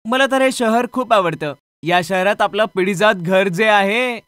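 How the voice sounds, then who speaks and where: A man's voice: high-pitched, a little slow, fairly bright tone, clean tone, dry recording, clean studio sound, pitched above the performer's own voice. A man voicing an eager, curious and chatty young man in a horror story.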